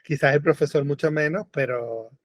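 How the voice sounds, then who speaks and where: A Venezuelan-born man chatting as a radio guest, animated and full of fillers and rephrasing. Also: fairly high pitch, moderate pace, no room sound, studio quality. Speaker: guest, book editor. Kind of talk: casual radio conversation. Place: remote call or studio, lives in Europe.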